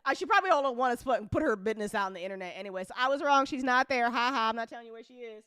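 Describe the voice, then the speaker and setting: A woman's voice: on the high side, rapid-fire, clean tone, no room sound. Informal, sweary woman host, close dry sound. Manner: animated, sweary, joking; informal speech with frequent y'all. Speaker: host and audio drama creator. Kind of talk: casual livestream chat answering audience questions.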